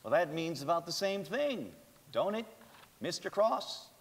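A man's voice: a little high, very animated, a little slow, exaggerated voice. A man playing a skeptical lawyer pressing witnesses in a staged period courtroom drama. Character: pressing, skeptical cross-examining lawyer in an 1890s trial. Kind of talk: staged dramatic reading of a trial. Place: large theater stage, indoors. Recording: hall reverb from a theater stage.

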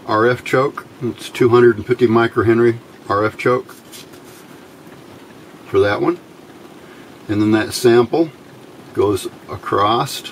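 A middle-aged man giving an informal hands-on tutorial in halting short phrases, pausing often as he explains. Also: on the low side, rough recording. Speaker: electronics hobbyist demonstrating a homemade circuit. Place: indoors at a workbench. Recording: steady clear background noise.

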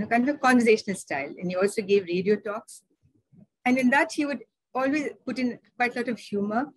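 A woman's voice: mid-range voice, husky, no room sound, poor audio. A woman with an Indian English accent giving a seminar talk over slides, chatty and digressive, with many fillers and restarts. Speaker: seminar speaker.

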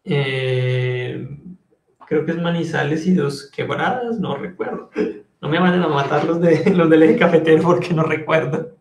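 A man's voice: on the high side, normal speed. A young man, a Spanish speaker, teaching an online language class with careful enunciation and repetition for learners. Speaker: French teacher. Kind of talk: online language lesson, switching between French and Spanish. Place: indoor room, live online class. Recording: roomy, echoing indoor sound.

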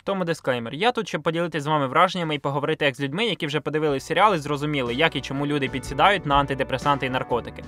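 A man narrates a video essay at a quick pace in a fairly high voice. His delivery is blunt and sardonic, full of slang and self-mockery. Music plays underneath. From Ukraine, grew up in a village. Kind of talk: scripted video review narration with slang and swearing. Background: background music.

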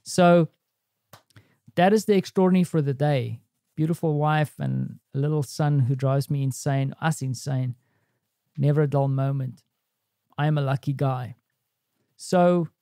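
A man with a soft, mellow voice pitched a little high talks through his day like an audio diary. He is conversational and reflective, as a podcast host speaking casually to his listeners. The sound is dry and close. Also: measured pace, long pauses, no room sound.

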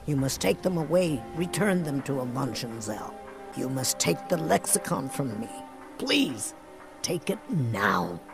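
A woman voicing a disturbed, desperate lizard-woman in a fantasy video game, gravelly and pleading. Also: extremely low pitch, big pitch swings, a little slow, full character voice. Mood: desperate, haunted. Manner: pleading, haunted. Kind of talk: video game character dialogue. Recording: game music behind.

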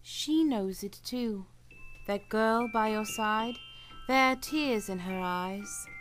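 A woman playing a solemn, unrelenting spirit in a radio play, speaking with deliberate command. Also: mid-range voice, faint music behind, natural voice. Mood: solemn, accusing. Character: solemn, unrelenting female ghost of the past.

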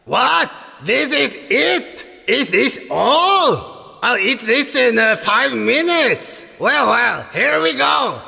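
A man voices a clumsy cartoon sidekick from a fairy tale, full of goofy bravado. The voice is high and bright and swoops around a lot. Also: sing-song, slow pace, gravelly, breathy edge, exaggerated voice. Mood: boastful, unimpressed. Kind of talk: animated fairy-tale character dialogue.

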